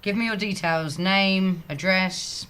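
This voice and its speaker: A woman's voice: medium pitch, slow, a bit bright, smooth. An actress playing a sardonic, surly female office slacker, dry and sarcastic, in a comedy audio drama. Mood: sly, reluctant.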